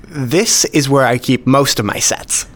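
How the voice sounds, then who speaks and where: A man's voice: medium pitch, unhurried, fairly bright tone. Actor as methodical, modest hobbyist man. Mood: matter-of-fact, modest. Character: modest, precise adult male hobbyist collector. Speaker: character in a radio play. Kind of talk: scripted dialogue, explaining.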